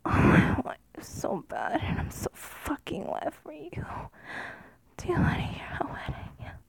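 A woman speaking in a breathy whisper in an intimate adult audio style, hushed and pleading, with drawn-out delivery. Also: high-pitched, huge pitch swings, poor audio. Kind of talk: intimate whispered erotic audio, addressed to a partner.

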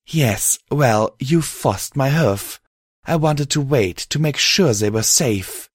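A caring alicorn mare acted out by a man from a fantasy story, pitched well above his normal speech. The delivery is earnest and pleading, with a close, dry sound. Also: animated, slow, breathy edge, no room sound. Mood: reassuring.

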